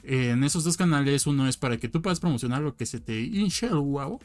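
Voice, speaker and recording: A Mexican man hosts a casual podcast stream. He talks through announcements with plenty of hesitant fillers at an ordinary pitch, and faint music plays underneath. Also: animated, fast talker, a little mellow. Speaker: podcast co-host. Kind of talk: casual chat.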